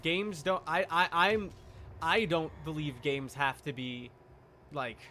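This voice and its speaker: A man with a slightly high, bright and forceful voice talks as a game streamer. He chats casually while playing, arguing his opinions with emphasis. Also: fairly even intonation, slow pace, a little hiss or hum.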